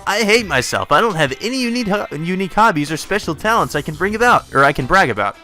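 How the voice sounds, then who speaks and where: A man's voice: high-pitched, sing-song, fast, bright, forceful tone, faint music behind, pitched above the performer's own voice, heavily acted. A man voicing a self-loathing, plaintive teenage boy from an anime-style visual novel game. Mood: self-pitying.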